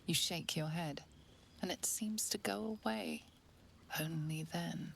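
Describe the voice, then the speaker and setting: A woman playing a grave, sinister storyteller, narrating a dark fantasy game in a measured, intimate, ominous way. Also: lowish voice, creaky, slight background noise, heavily acted. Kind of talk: scripted second-person dark fantasy narration. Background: music.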